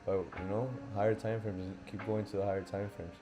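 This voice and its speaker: A man's voice: lowish voice, flat delivery, fairly quick. A young man from Miami hosting a live stream, talking casually and loosely, thinking aloud with lots of fillers. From Miami, USA. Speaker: livestream host, forex trader. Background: faint lo-fi background music.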